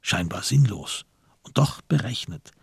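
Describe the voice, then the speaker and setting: A man with a gravelly, husky voice giving literary narration in a radio crime drama. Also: lowish voice, animated, unhurried, a little mellow, dry recording, clean studio sound.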